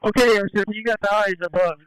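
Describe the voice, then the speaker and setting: A man's voice: sits high, steady tone, quick pace, a bit bright, clear, resonant tone, no echo, poor audio. A male police officer giving instructions over the radio, heard on a scanner.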